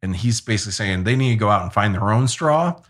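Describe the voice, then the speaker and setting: A man's voice: fairly low pitch, expressive, fast, a bit bright. A man casually retelling a Bible story in his own loose paraphrase, with jokey asides. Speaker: podcast co-host.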